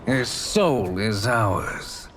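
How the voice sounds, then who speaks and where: A man playing a cold, ruthless sorcerer in a video game, speaking with deliberate menace. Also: fairly low pitch, animated, music in the background. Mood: menacing. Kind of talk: cinematic video game acting.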